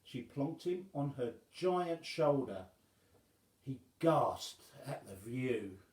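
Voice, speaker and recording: A man reading a rhyming children's story aloud as an expressive storyteller. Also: mid-range voice, slow, echoey room.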